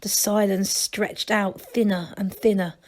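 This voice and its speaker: A woman's voice: mid-range voice, steady tone, a little slow. A woman storyteller reading a children's book aloud.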